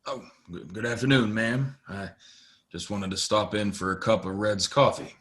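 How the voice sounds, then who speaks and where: Man playing a low-voiced polite cowboy stranger. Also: moderate pace, fairly bright tone, natural voice. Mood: courteous, modest. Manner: courteous, understated. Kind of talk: audio play western dialogue.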